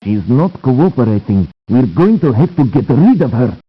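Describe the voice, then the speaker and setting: Brash henchman, hugely animated pitch, slow delivery, video game acting, muffled lo-fi. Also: husky. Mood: menacing. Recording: muffled low-fidelity game audio, faint noise.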